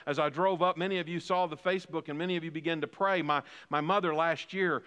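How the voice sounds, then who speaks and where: A man's voice: a little high, fairly even intonation, fast, fairly bright tone, clear, resonant tone, long reverb tail. A man in his fifties preaching a sermon as pastor, building emphasis through repeated phrases. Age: 50s. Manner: preaching with rising emphatic repetition.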